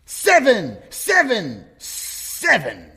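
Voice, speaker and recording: A man's voice: sits high, huge pitch swings, drawn-out words, vocal fry, sharp tone, breathy edge, full character voice. Swooping, theatrical eccentric male poet played by an actor. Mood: grandly poetic. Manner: theatrical declaiming, swooping between chant and grumble. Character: eccentric male open-mic poet called Seven, dramatic and touchy. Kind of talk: improvised audio comedy.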